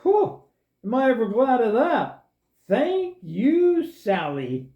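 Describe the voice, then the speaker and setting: Lady doing a naive croc puppet for kids, reaaally slow n questioning, kinda dull echoey recording. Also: on the high side, wildly swooping pitch, exaggerated voice. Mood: earnest. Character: slow, naive, timid but friendly crocodile puppet. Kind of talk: children's puppet show dialogue.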